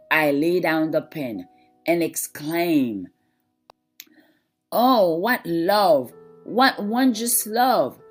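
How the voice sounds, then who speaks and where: Slow, low-voiced woman reading a devotional. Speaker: devotional reader. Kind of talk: devotional reading of scripted religious text.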